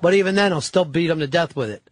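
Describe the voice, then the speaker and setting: A male talk-radio host with a slightly higher voice, blunt and profane in his ribbing. His voice sounds close and dry in the studio, with no room echo. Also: quick pace. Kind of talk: live talk radio banter.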